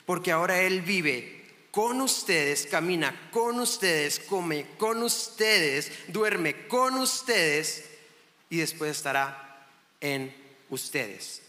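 A man's voice: on the high side, lively intonation, slow, bright, forceful tone. Mexican man preaching in an echoey hall. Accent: northern Mexican. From Chihuahua, Mexico. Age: 30s. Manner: chatty and self-joking, with many restarts. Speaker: guest preacher. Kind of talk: sermon. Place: church hall. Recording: echoey hall with long reverb.

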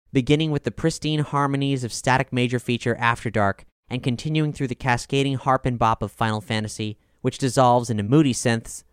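Male podcast narrator for scripted music reviews: crisp, resonant tone, dry studio sound, polished measured read. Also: medium pitch, dry recording. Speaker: album review reader and podcast host.

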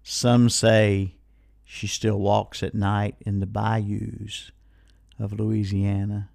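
A man's voice: lowish voice, level tone, drawn-out words, gravelly, a little mellow, no room sound, studio quality. A man hosting a live radio call-in show in a casual, folksy, rambling way, playfully repeating himself. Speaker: radio host of a call-in swap shop and music show. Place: radio studio. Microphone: close studio microphone.

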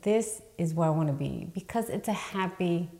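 A woman's voice: lowish voice, lively intonation, normal speed, vocal fry, warm tone. A woman in her fifties from the Dominican Republic who grew up in New York, a real estate attorney telling her story in a podcast interview with many ums. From Dominican Republic, raised in New York. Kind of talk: casual interview talk.

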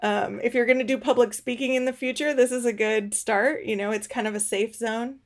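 A woman's voice: fairly high pitch, fairly even intonation, fairly quick. A woman hosting a podcast, chatting easily and laughing often during an interview over a video call. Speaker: podcast host.

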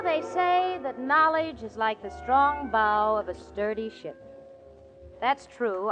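An actress playing an exasperated, wisecracking young woman in a 1940s radio comedy. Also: a little high. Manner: wry, expressive, exasperated. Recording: dull old radio recording, live audience, noise.

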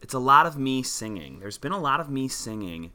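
Bright, lively man co-hosting a podcast. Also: medium pitch, a little fast. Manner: playful, breaks into goofy old-timey voices. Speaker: podcast co-host. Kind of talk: casual podcast chat.

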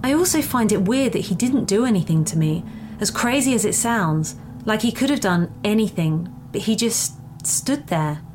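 A woman's voice: mid-range voice, expressive, moderate pace, a little mellow. A woman reading a first-person scary story as a scripted narration. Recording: faint background noise.

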